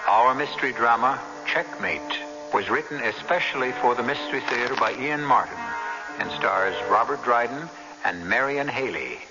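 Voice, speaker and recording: A man hosting and narrating a 1970s radio mystery in a measured, theatrical manner. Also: mid-range voice, music in the background. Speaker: host and narrator. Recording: lo-fi old radio broadcast.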